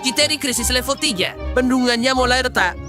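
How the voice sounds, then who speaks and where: A woman's voice: high-pitched, a little fast, over music, natural voice. A woman voicing a small, bossy cartoon creature in a children's dub, urgent and insistent.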